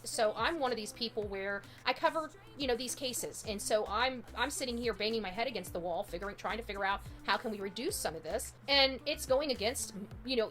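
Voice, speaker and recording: Woman, forceful bright voice, higher pitched, blunt livestream host, chatty opinionated commentary. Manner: blunt, emphatic, rambling, chatty. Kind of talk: opinionated true-crime live commentary, casual chat.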